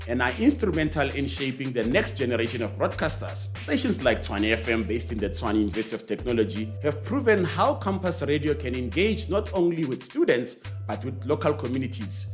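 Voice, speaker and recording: A man with a South African accent hosting a scripted explainer, measured and stressing key phrases. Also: medium pitch. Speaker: host of a series on radio.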